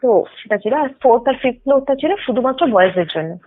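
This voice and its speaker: A woman's voice: fairly high pitch, animated, quick pace. A young woman tells a personal story casually over the phone, explaining details and mixing in English words. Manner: explanatory, mixes in English words, often adds check-in tags. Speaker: caller telling a personal ghost experience. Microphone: phone line. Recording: tinny phone call with music underneath. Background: background music.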